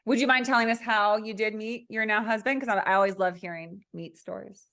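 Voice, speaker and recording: A woman hosting a podcast, asking gentle and encouraging interview questions in a casual chat. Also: mid-range voice, steady tone, brisk. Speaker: podcast host.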